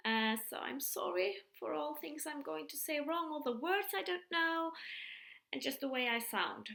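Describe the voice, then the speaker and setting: A woman's voice: sits high, pushed and bright, roomy sound. A middle-aged woman from Finland with a Finland-Swedish accent, hosting a casual podcast chat in English, hesitant, with many fillers and restarts. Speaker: podcast host. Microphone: computer mic.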